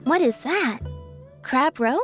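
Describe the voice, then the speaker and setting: Cool deadpan girl from a game, kinda high voice, understated, soft music behind. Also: slow pace, heavily acted. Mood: curious, reserved. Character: cool, deadpan young female magician's assistant, reserved, quirky. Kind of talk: video game character acting.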